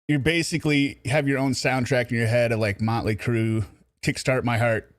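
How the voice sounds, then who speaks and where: A man's voice: mid-range voice, steady tone, normal speed, dry recording, studio quality. A man giving casual, informal reaction commentary as a cohost, with a wry, mocking manner.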